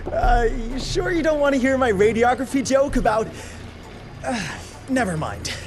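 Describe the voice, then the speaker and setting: Scatterbrained rambling engineer. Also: female voice, a little high, sing-song, moderate pace. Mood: flustered. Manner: rambling, self-mocking, jargon-heavy. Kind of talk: game cutscene acting. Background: music score.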